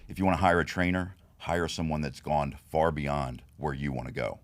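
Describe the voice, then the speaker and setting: A man in his fifties with a deep, raspy voice, talking frankly as a guest in a casual interview. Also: moderate pace, no room sound. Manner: frank, steady storytelling with many "you know"s. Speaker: podcast guest.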